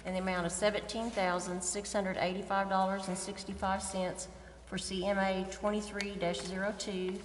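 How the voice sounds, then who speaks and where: A woman's voice: mid-range voice, flat delivery, moderate pace. An American woman with a mid-range voice chairs a formal council meeting. She reads procedural items in a businesslike manner, with strong room echo and some noise around her. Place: council chamber. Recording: echoey meeting hall, noticeable background noise.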